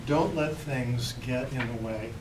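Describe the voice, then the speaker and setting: A man's voice: medium pitch, slow. A man introducing and reading a poem aloud to an audience at a poetry reading. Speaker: poet reading an absent colleague's poem. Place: public library reading room. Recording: echoey room, distant mic, noisy ambience.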